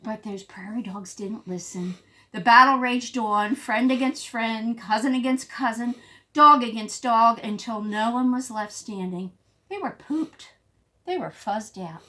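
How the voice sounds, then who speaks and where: A woman teacher reading a picture book aloud to young children, emphatic, pausing to explain and prompt. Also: a little high, sharp tone. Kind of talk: expressive storybook read-aloud for young children.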